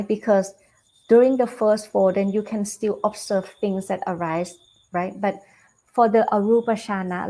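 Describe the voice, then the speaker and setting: A Buddhist nun with a Thai accent, giving a calm dharma talk over a video call, unhurried with fillers and restarts. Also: female voice, medium pitch, rough recording. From Thailand. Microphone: video call webcam.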